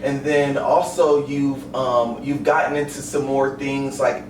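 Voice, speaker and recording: A man's voice: mid-range voice, steady tone, unhurried. Friendly male podcast host, echoey distant sound. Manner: friendly, encouraging interviewer, loose with fillers. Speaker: podcast host, online personal trainer. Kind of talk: casual interview chat. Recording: roomy, distant mic, ambient noise.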